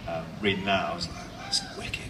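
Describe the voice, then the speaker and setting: A young man on a panel, lively and casual in his answers. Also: deep voice, a little fast. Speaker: actor on a convention panel. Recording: echoey hall sound from far off, noisy.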